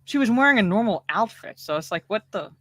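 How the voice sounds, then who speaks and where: A woman's voice: medium pitch, expressive, fast talker, fairly bright tone, no echo. A woman co-hosting a casual stream chat, blunt and exasperated, swearing freely as she rants. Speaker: co-host and streamer.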